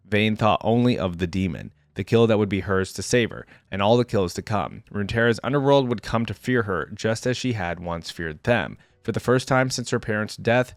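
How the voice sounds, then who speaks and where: A man's voice: lowish voice, no room sound, studio quality. A man hosting a reaction video, reading a story aloud and adding casual, informal comments.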